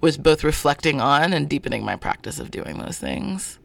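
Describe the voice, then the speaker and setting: A man being interviewed as a guest about his work, speaking in a thoughtful, searching way with many pauses and fillers. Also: a little high, lively intonation, creaky, fairly bright tone. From born in North Carolina, family from Zimbabwe. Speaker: guest, performer of a show. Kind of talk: reflective interview talk.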